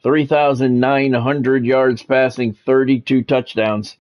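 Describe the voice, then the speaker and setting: A man's voice: medium pitch, flat delivery, takes it slowly, a little mellow. A middle-aged man hosting a livestream, mixing casual chat with announcer-like football play-by-play commentary. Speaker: livestream host, game commentator.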